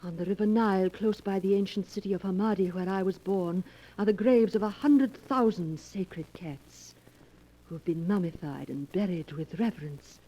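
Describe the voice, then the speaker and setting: An actress playing a possessive, mysterious Egyptian wife with smooth, controlling endearments in a 1940s radio melodrama. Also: female voice, medium pitch, normal speed, warm tone, natural voice. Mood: reverent. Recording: noisy vintage 1940s radio recording.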